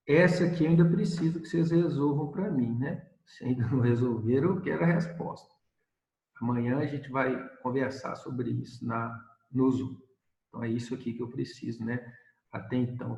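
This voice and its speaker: A man's voice: on the high side, darkish tone, reverberant, poor audio. A male math teacher giving a recorded video lesson, explaining step by step and asking questions he answers himself.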